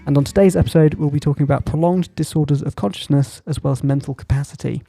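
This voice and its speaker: A man's voice: fairly high pitch, fast, gravelly, warm tone, faint music behind. A man hosting a podcast, introducing his guest and asking questions in a friendly, hesitant way with many ums. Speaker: podcast host. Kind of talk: casual podcast interview.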